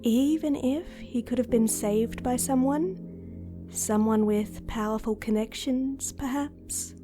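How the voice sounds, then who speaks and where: A woman playing a composed, persistent noblewoman in an audio drama, wry and probing in a measured way. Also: on the high side, over music. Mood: insistent.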